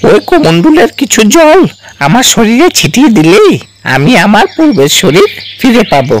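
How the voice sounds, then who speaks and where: A man's voice: very high voice, huge pitch swings, moderate pace, a little hiss or hum, exaggerated voice. A man voicing a friendly, pleading crow who was once human, in a fairy-tale cartoon. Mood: hopeful explaining. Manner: pleading, imploring.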